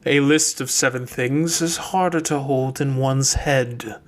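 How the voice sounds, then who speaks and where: Stern male mage for audiobook man acting, cold, measured, formal, unyielding. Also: medium pitch, fairly even intonation, close to an everyday voice. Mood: hard, formal.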